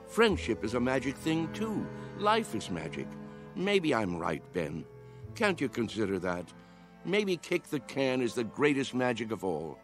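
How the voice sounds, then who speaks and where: A man's voice: medium pitch, huge pitch swings, unhurried. An actor playing an earnest, persuasive old man with a dreamer's young heart in a radio drama. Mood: pleading, wistful. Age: older. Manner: earnest, persuasive, challenging with questions. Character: old man, impassioned youthful-hearted dreamer coaxing others to play. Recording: radio drama with music underneath.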